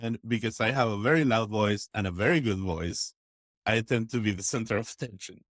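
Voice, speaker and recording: A man from Texas, a podcast guest, telling stories in a casual, enthusiastic and expressive way. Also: fairly low pitch, a little fast, no room sound, clean studio sound. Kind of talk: casual interview storytelling.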